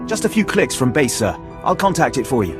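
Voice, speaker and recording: Man playing a fast, animated junior soldier. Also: medium pitch, natural voice. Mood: alert, obedient. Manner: quick, obedient. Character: brisk, eager, anxious junior soldier, male. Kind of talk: video game voice acting. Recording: clear music behind the voice.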